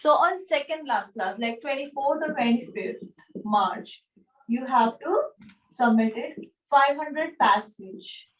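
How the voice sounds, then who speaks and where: A woman with a fairly high voice and an Indian accent teaches an online class from a small room. She speaks slowly and haltingly, informally repeating phrases as she explains. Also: expressive, low-quality recording. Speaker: anatomy teacher at a yoga school. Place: small room with a whiteboard, indoors. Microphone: device camera a short distance away, video call.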